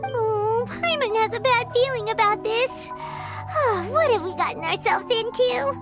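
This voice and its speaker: Squeaky, excitable little fairy girl. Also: measured pace. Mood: worried. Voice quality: squeaky, childlike. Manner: refers to self in third person. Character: small excitable floating fairy girl companion. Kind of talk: video game character dialogue. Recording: loud music behind.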